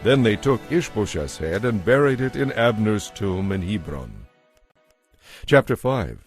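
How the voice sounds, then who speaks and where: A man narrating scripture from a script in a measured, solemn manner. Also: on the low side, big pitch swings. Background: soft music.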